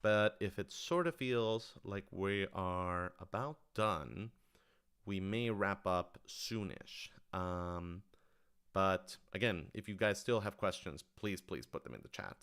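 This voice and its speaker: A man's voice: low-pitched, animated, slow pace, a bit bright, clear, resonant tone. A man hosting a casual livestream Q&A as a writer-director, rambling and thinking aloud as he talks to his audience.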